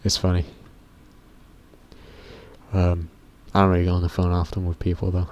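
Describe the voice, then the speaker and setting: A teenage boy with a very deep voice, rambling casually to camera in a vlog, often stopping himself mid-sentence and swearing offhandedly. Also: completely flat delivery, moderate pace, a little mellow, dull audio, slight background noise. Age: teens. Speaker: YouTuber.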